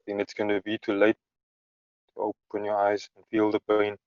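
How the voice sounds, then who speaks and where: A man's voice: lowish voice, monotone, normal speed, no echo, dull audio. A man, a grieving father, speaking earnestly and pleadingly on a call. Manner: earnest, pleading, stresses his words. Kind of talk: call conversation.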